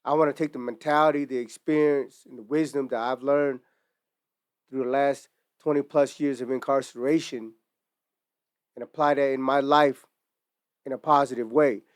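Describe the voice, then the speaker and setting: A man's voice: medium pitch, fairly even intonation, moderate pace, long pauses, smooth, no room sound, studio quality. A man speaks earnestly to camera. He tells stories in a reflective way and uses casual street slang. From Utah, United States. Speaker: merch brand founder. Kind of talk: earnest personal monologue to camera. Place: indoors in front of merchandise display.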